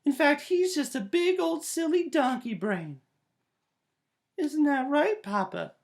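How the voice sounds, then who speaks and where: Man as baby girl doll, puppet show, squeaky, high, childish, defiant. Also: expressive, slow, vocal fry, exaggerated voice. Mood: cheeky, defensive.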